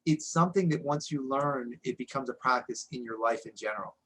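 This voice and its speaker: A man's voice: mid-range voice, fairly quick, fairly bright tone, breathy edge. A man in his forties, a guest coach, talking earnestly and reflectively about his own life in a podcast interview. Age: 40s. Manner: earnest, reflective, frequent "you know" and restarts. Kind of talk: candid personal interview talk.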